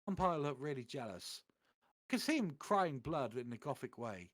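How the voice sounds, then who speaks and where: Man giving a lively, theatrical comic reading. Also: medium pitch, normal speed. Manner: theatrical, putting on voices for quoted lines. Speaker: reader of fan fiction on a comedy stream. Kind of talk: comedic dramatic reading of amateur fan fiction.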